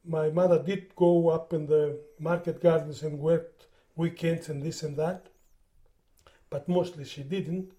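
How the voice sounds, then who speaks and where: Older Greek-born man, accented English, higher pitched with a warm dark tone, halting reminiscence. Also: steady tone. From Greece. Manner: halting, repeats words while recalling. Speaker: interviewee. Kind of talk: oral history interview.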